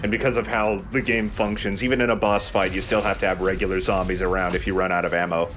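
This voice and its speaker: A man's voice: deep voice, flat delivery, fast, background noise. A man hosting a let's play, chatting casually as he comments on the game. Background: game music.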